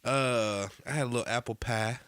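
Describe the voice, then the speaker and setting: A man's voice: mid-range voice, fairly even intonation, moderate pace, a bit bright, smooth, dry recording. A man co-hosting a casual podcast chat, laid-back and slangy, his voice still a little nasal from a cold. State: slightly nasal after a cold. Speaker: podcast co-host.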